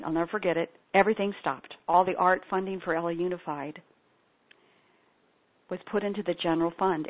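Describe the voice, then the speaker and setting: A middle-aged woman, a spiritual practitioner and singer-songwriter, telling her personal story in an earnest, heartfelt way. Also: on the low side. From Ethiopia. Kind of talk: personal testimony talk. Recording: tinny phone-like sound.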